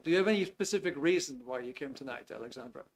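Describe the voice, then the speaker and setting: A Dutch-accented man in his fifties, a native Dutch speaker, hosts a live webinar. His voice sits slightly higher than most men's, and he speaks in a loose, friendly way full of ums and restarts. A faint background hiss sits under his computer mic. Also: animated, fast. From Netherlands. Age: 50s. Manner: easygoing, chatty, frequent hesitations with ums. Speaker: webinar host. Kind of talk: live online webinar talk. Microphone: video call computer mic.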